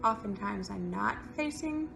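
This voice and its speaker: A woman's voice: medium pitch, lively intonation, a little slow, vocal fry. A young woman from the American Midwest, a teacher, giving an earnest and articulate personal account. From United States, Midwest. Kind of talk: personal testimony. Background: music.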